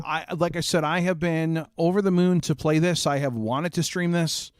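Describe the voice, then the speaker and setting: Casting: male game-stream host, slightly high voice, informal and jokey chatter, close dry microphone. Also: clear, resonant tone, no room sound. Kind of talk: casual game stream chat.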